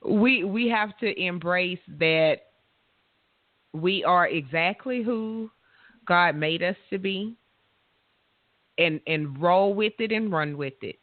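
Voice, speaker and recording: A woman with a loud voice, hosting a radio talk show in a frank, self-assured way. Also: fairly low pitch, slow pace, pauses often, no room sound. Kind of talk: casual interview chat. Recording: dry, tinny phone-line sound.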